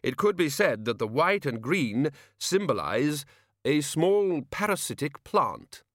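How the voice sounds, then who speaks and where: A blustering old wizard chancellor, as a male narrator puts him on, booms his words out slowly and bluntly. The voice sits higher and louder than the narrator's own. Dry studio sound. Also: big pitch swings, dry recording. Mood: gruff. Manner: bluff, booming, drawn-out.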